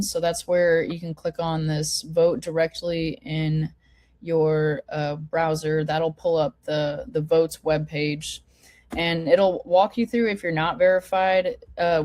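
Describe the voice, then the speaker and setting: Low-pitched woman on a video call, kinda hoarse from a cold, lots of um and like, dry close sound. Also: darkish tone, dry recording. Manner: informal, lots of fillers and restarts. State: hoarse, getting over a cold. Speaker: forum host. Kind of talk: casual online meeting walkthrough.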